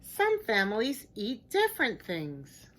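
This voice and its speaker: A woman reading a children's picture book aloud like a teacher, in a deliberate, expressive way, with hushed chatty asides to her listeners. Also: a little high. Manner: slow, expressive, simple deliberate phrasing.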